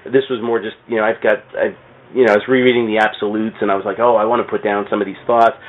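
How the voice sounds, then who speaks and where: A man's voice: fairly low pitch, little pitch movement, racing. A man chatting as a podcast guest, telling stories in a rambling, enthusiastic way and repeating words. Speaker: podcast guest, comic shop owner. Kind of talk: casual storytelling chat. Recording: tinny phone-like sound, clear background noise.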